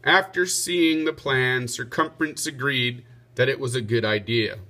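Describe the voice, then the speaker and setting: A man reads a children's story aloud as a teacher would. His voice is bright and forceful, set at a middling pitch. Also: a little slow.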